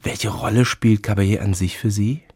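A man hosting a radio culture program, introducing music and asking his guest measured questions. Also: fairly low pitch, fairly even intonation, a little mellow, breathy edge, no echo, clean studio sound. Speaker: radio host.

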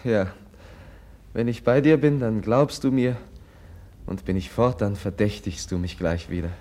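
An actor playing a gentle, compassionate prince in a radio drama, speaking earnestly and haltingly as he pleads with his rival. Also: on the low side, close to an everyday voice. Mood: tender, saddened. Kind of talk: classic German radio drama acting.

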